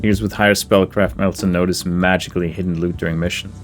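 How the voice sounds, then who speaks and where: Low-voiced man thinking aloud over game music. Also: little pitch movement, fast talker. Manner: deliberating, talks to himself and the game characters. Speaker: gaming video host. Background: game music behind the voice.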